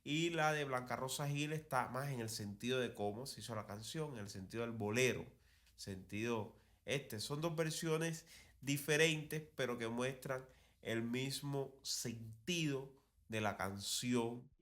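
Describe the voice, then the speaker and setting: Man, Cuban accent, medium pitch, podcast host, conversational storytelling, digressive, repeats himself for emphasis. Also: unhurried, fairly bright tone. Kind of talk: conversational talk on music and radio history.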